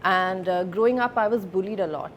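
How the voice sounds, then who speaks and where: A woman in her thirties from Sweden, a film producer speaking reflectively as an interview guest, with many pauses and fillers. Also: mid-range voice, a little hiss or hum.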